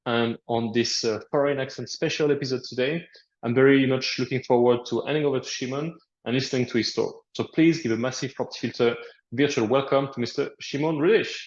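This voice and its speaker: A man's voice: mid-range voice, fairly even intonation, fast talker. A man with a French accent, hosting a webinar and introducing the guest speaker. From France. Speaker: host. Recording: dull, echoey room sound.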